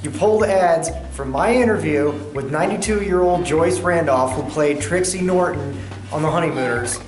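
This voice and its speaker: A woman's voice: low voice, moderate pace, close to an everyday voice. Blunt, aggrieved female creator, acted by a woman for a comedy sketch, echoey, faint music behind. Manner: blunt, complaining. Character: aggrieved small video creator protesting unfairness.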